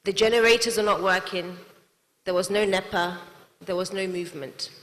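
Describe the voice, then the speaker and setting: A woman's voice: medium pitch, fairly even intonation, moderate pace, a bit bright. A young adult woman, a company CEO, giving an earnest prepared speech to an audience in a large hall. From not Nigeria. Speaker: CEO and manufacturer giving a talk. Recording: long hall reverb.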